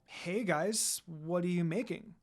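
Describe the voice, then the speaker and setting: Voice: medium pitch, slow pace. A reader voicing a friendly young female wolf demon princess with a casual, eager manner. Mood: curious.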